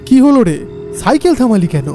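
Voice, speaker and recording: A man's voice: extremely high pitch, sing-song, moderate pace, mellow, faint music behind. A man playing a nervous young village man in an audio horror story, speaking with urgent unease. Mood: alarmed, puzzled. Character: nervous young village man, frightened friend on a night ride.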